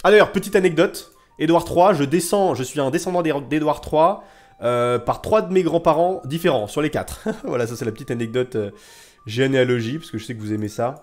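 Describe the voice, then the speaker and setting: A man's voice: on the high side, fairly quick, soft background music. A man livestreaming history stories to his viewers in a casual, theatrical way, repeating phrases for suspense and emphasis. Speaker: live streamer telling history stories to chat. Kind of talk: casual animated storytelling to viewers.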